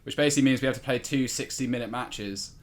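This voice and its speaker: A man's voice: medium pitch, fast talker. A man chatting casually on a podcast, telling anecdotes in a wry, self-deprecating way. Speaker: football podcast panellist. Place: remote video call.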